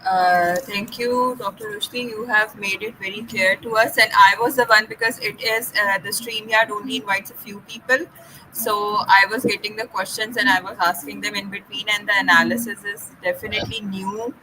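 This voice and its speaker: A woman's voice: medium pitch, fairly even intonation, moderate pace, noisy background. A woman hosting an online live stream, speaking in a courteous, formal way with many fillers. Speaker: host. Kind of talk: formal thank-you as live stream host.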